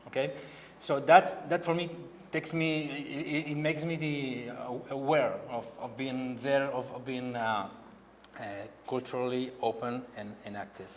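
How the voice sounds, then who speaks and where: A man from Barcelona speaking English with a Spanish accent, a painter giving a halting gallery talk who repeats words as he searches for them. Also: mid-range voice, steady tone, slight background noise. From Spain. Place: gallery hall. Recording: long hall reverb, faint ambience.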